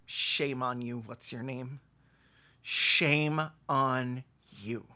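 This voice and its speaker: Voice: mid-range voice, fairly even intonation, drawn-out words, sharp tone. A video host giving comedic reaction commentary in a theatrical, exclamatory and sarcastic way.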